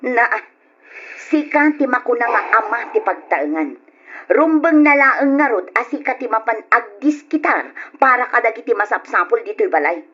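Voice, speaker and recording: A woman's voice: on the high side, animated, moderate pace, fairly bright tone, breathy edge, dull audio. A woman with an Ilocano accent playing a poor, worn-down, pleading mother in an old radio drama. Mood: exasperated.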